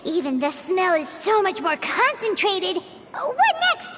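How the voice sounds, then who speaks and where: A woman voicing a small, chirpy, childlike fairy companion in a video game, squeaky and expressive. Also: a little slow, very noisy, exaggerated voice. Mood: curious, impressed. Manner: bubbly, expressive, refers to self by name. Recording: studio voice over prominent game music. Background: game music.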